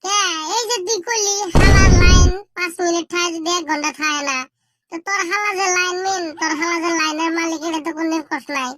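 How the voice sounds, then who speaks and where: Squeaky whiny cartoon schoolkid. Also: fairly quick, very noisy. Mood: indignant, argumentative. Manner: rambling, grumbling, quarrelsome. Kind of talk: comedy cartoon dialogue in colloquial Bangla.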